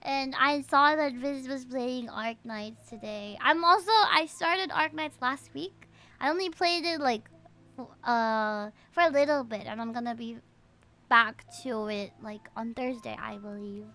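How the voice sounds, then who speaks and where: A woman's voice: high voice, measured pace, pushed and bright. A woman chatting casually as a livestream host, bubbly and effusive with her viewers. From Philippines. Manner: bubbly, effusive, often repeats greetings and thanks. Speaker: VTuber game streamer and host. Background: music.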